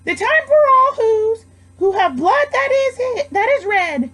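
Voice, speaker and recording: A woman's voice: extremely high pitch, very animated, takes it slowly, fairly bright tone, voice pushed up, heavily acted. A woman voicing a tiny, urgent male mayor from a storybook, crying out. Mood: dramatic, rallying. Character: frantic small male mayor of tiny creatures, pleading urgently. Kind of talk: storybook character voice.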